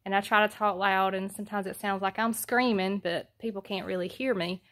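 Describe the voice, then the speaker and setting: Soft-spoken Southern woman, hesitant. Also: steady tone, brisk. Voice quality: soft-spoken, talks low. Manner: hesitant, many ums, plain. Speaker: homestead vlogger. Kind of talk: casual how-to vlog. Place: at home, alone with a phone camera.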